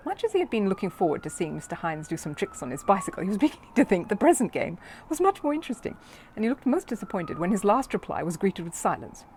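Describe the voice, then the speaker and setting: A woman narrating a children's storybook in an expressive reading style. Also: mid-range voice, quick pace, slight background noise.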